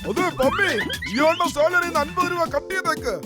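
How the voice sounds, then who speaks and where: A stingy, grumbling cartoon boss, very high in pitch. The delivery is haughty and scolding, over loud music. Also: a little fast. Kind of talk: exaggerated cartoon voice acting.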